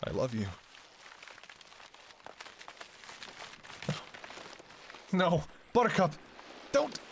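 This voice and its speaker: A man's voice: high-pitched, huge pitch swings, a little slow, gravelly, slight background noise, exaggerated voice. A man playing a devoted, anxious doctor talking to a lover in an intimate ASMR audio roleplay, with a hesitant, emotionally raw delivery. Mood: desperate, anguished.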